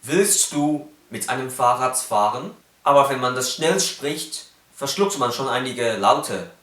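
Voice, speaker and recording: Slow careful man with a Cantonese accent. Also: mid-range voice, lively intonation, a bit bright, roomy sound. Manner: slow, careful, demonstrates sounds precisely. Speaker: YouTuber explaining phonetics. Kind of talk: casual educational vlog.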